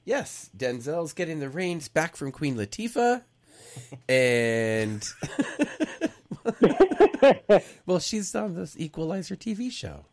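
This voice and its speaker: Playful man with a lively voice. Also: a little high, takes it slowly, pauses often. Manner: playful, acts out lines he shouted at the screen. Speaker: panelist. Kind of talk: casual movie review chat.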